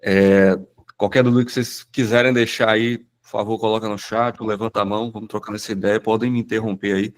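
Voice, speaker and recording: A man with a cold, hoarse and a bit nasal, giving a casual live presentation on a video call with many fillers and restarts. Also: fairly low pitch, little pitch movement, a little fast. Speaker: automation business owner presenting in a webinar.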